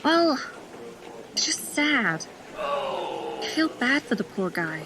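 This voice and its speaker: Woman playing a blunt new nurse, sharp-tongued, slightly high voice, noisy background. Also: big pitch swings, slow pace, full character voice. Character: new young female nurse, blunt and indignant.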